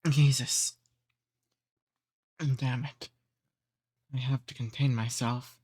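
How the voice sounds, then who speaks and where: Guy doing a flirty ancient vampire for asmr, real slow and teasing, kinda bossy but courtly, super dry close sound. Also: medium pitch, little pitch movement, a little mellow, husky, no room sound. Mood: hungry, savoring. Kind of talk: intimate ASMR roleplay.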